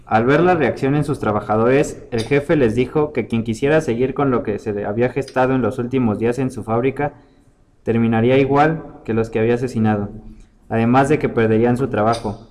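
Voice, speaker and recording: A man's voice: mid-range voice, brisk, a little mellow. A man reading a scripted short story aloud as its storyteller.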